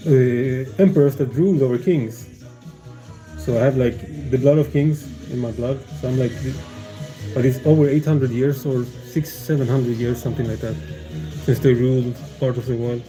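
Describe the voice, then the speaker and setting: Deep-toned, rambling male streamer. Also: dark tone, low-quality recording. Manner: meandering, hesitant, many fillers and restarts, chuckles often. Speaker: livestreamer. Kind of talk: casual rambling stream chat.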